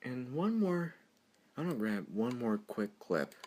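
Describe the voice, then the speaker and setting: Rambling, slow-paced software tutorial presenter. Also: medium pitch, very animated. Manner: rambling, giggly, easily amused. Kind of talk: unscripted screen-recorded software tutorial. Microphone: computer microphone during screen recording.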